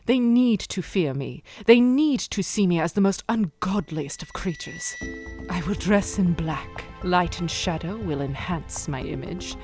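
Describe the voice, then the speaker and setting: A woman's voice: lowish voice, animated, measured pace, a little mellow, soft background music. A woman acting a determined young heiress in a radio play, cool and poised, with formal theatrical diction. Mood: defiant, brooding.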